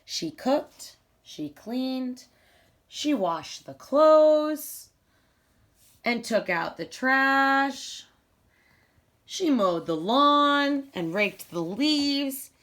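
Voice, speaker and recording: Expressive woman reading a storybook, unhurried. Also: high-pitched. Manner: deliberate, emphatic storytelling. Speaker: teacher reading a picture book aloud. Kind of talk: expressive children's storybook read-aloud.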